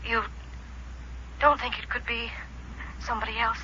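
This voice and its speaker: An actress playing a grieving, fragile widow in 1950s radio style, hushed, halting and polite through a telephone filter. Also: female voice, on the high side, steady tone. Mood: grieving, subdued. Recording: thin, hissy 1950s radio phone line.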